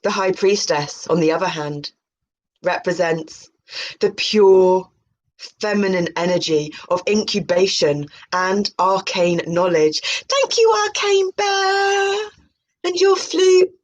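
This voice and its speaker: A woman's voice: mid-range voice, lively intonation, slow pace. A woman hosting a video, reading aloud about tarot with playful, chatty spiritual asides. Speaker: video host. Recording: muffled, dull lo-fi sound.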